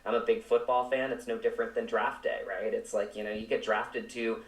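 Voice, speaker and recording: A man's voice: fairly low pitch, flat delivery, a little fast, distant mic. Male interview guest, reflective and sincere, chatting from a little way off the mic in an echoey room. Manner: earnest, reflective. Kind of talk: conversational interview.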